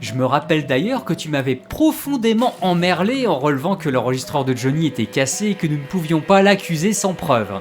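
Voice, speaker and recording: A man's voice: a little high, sing-song, fairly quick, few pauses, faint music behind. A man playing a gloomy, wry time traveller in an audio drama, easily exasperated and given to hesitant explanations. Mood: wry, teasing. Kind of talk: French audio drama acting.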